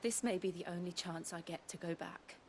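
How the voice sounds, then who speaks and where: A young woman warrior from a video game speaks softly and earnestly, quietly resolved despite her guilt, voiced by a woman. Her voice sits slightly low. A faint hiss runs behind her. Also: fairly quick, natural voice. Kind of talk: video game cutscene acting.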